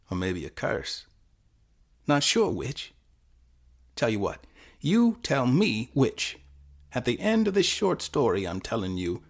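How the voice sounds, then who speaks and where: A man playing a confused, fatalistic teenage boy who narrates his own short story in halting, self-correcting fragments. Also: fairly low pitch, exaggerated voice. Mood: musing, conflicted. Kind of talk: first-person dramatic short-story performance.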